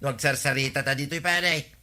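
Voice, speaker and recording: An actor playing a sheepish but stubborn villager in a comedy radio drama, protesting with an Ilocano accent. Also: male voice, medium pitch, steady tone, brisk, sharp tone. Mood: defensive.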